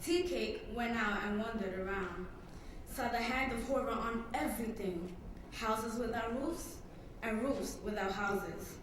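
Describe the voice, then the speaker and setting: A woman's voice: fairly high pitch, measured pace. Teen girl narrating in an echoey hall. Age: teenager. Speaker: student narrator. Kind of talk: dramatic literary reading. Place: public reading in a hall. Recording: very echoey hall, distant mic, faint noise.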